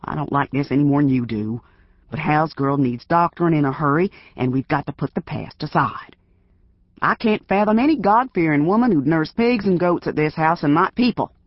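A man voicing a nervous, blustering middle-aged sheriff with a reedy voice, gruff and demanding. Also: high voice, unhurried, few pauses, no room sound, lo-fi sound, pitched above the performer's own voice. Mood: angry.

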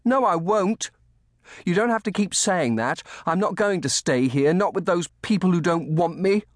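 Man voicing a high-pitched, stammering, upset orphan boy. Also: sing-song, moderate pace, no echo, clean studio sound. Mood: defiant. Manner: stammering, repeating words in protest. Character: frail, upset, stubborn orphaned young boy of genteel household.

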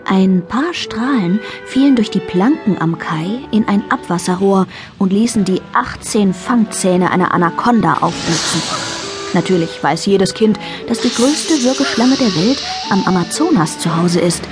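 A woman's voice: mid-range voice, animated, moderate pace, music in the background. A woman narrating a scripted radio play for children as its storyteller.

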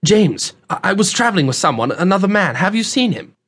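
A man's voice: high voice, very animated, a little fast, fairly bright tone, breathy edge, pitched above the performer's own voice, heavily acted. A man narrating an audiobook, voicing a polite, earnest young adventurer with an anxious, urgent manner.

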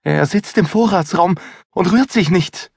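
A man voicing a frightened young female kitchen helper who stammers in excitement. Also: high-pitched, a little slow, vocal fry, husky, no room sound, studio quality, voice pushed up, exaggerated voice. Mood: distraught.

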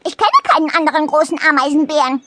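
A woman's voice: sits high, quick pace, bright, forceful tone, pitched above the performer's own voice. A woman voicing a tiny, frank dormouse in a storybook, with a chirpy, blunt and emphatic manner. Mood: matter-of-fact. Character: tiny frank dormouse, lively and matter-of-fact. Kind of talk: storybook character voice.

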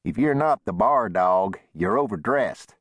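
A man's voice: fairly low pitch, lively intonation, a little slow, husky, no room sound. A man voicing a terse, sardonic drifter cowboy with laconic frontier talk in a western audiobook.

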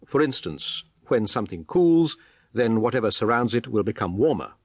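A man's voice: fairly low pitch, sing-song, moderate pace, husky, no room sound, clean studio sound. A man reading a scripted biographical documentary narration in a formal, articulate, explanatory manner.

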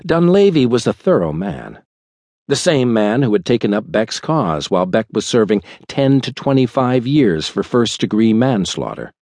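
A man reading a thriller audiobook in a measured, dramatic storytelling manner. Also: medium pitch, very animated, vocal fry, a little mellow, no echo. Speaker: audiobook narrator. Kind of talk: scripted thriller audiobook narration. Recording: dry studio recording.

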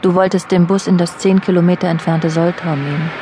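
Woman as an even-toned, introspective narrator. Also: fairly low pitch, brisk, a little hiss or hum, lightly acted. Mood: reflective. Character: reflective female narrator addressing an absent man. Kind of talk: scripted audio drama narration.

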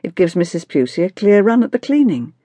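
A woman voicing an orderly, patient but mildly exasperated mother in audiobook dialogue, measured and dryly reproving. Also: fairly low pitch, sing-song, mellow, breathy edge, no echo, voice pushed up.

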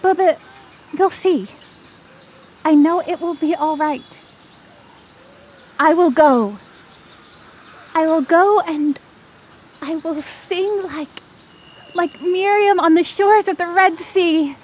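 A woman's voice: extremely high pitch, slow pace, long pauses, a little mellow. An actress playing a brave, idealistic young girl in an audio drama, earnest and defiant. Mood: determined, hopeful.

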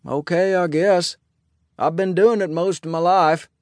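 A man voicing a kindly, easygoing older Old West sheriff in a storybook, with a folksy, hesitant, calming manner. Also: sits high, measured pace, no room sound, clean studio sound, voice pushed up.

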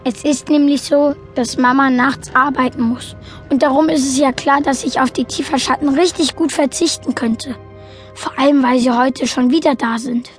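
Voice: sits high, fairly even intonation, normal speed, soft background music, natural voice. An actor playing an earnest, quirky young boy who narrates his story matter-of-factly in a children's audio drama. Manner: matter-of-fact, childlike, explanatory. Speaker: narrator and main character.

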